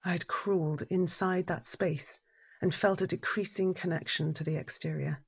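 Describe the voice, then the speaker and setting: Withdrawn, troubled wife narrating her own story for audio drama. Lowish breathy voice, deliberate, inward-looking, pausing for weight. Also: fairly even intonation. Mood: withdrawn, reflective. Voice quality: breathy, husky. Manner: introspective, deliberate, dramatic pauses.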